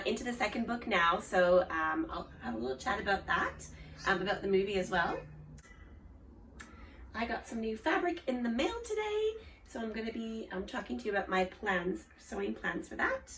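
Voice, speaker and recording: A woman in her forties with an Australian accent hosts a knitting podcast, chatting casually with lots of ums and restarts, her voice a little hoarse from a cold. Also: mid-range voice, sing-song, normal speed, fairly bright tone, far from the mic, a little hiss or hum, low-quality recording. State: slightly hoarse, recovering from a cold. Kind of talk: casual show-and-tell chat.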